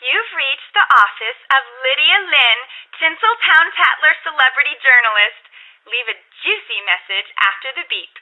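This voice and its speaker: A woman's voice: high voice, expressive, normal speed, highs cut off. A woman playing a perky celebrity gossip journalist in a game, heard through a telephone filter on a scripted voicemail. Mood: perky, sly.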